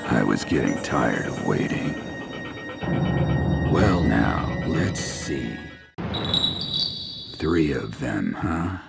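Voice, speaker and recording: Very deep, gravelly brute. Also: male voice, sing-song, extremely slow, long pauses, loud music behind the voice, heavily acted. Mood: bored menace. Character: bored, menacing giant villain sizing up opponents.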